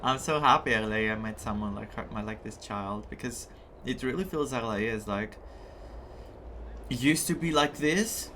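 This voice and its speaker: Slow-talking gamer from Greece. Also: mid-range voice, a bit bright, a little hiss or hum. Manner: chatty, reactive, hesitant phrasing. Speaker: gaming streamer. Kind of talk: casual gameplay commentary. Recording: game music under the voice.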